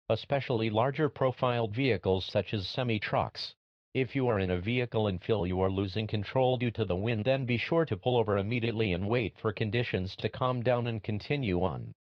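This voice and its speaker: A man's voice: fairly low pitch, dry recording, lightly acted. A man playing a serious weather alert announcer, reading a scripted emergency bulletin. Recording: dull, dry lo-fi alert audio.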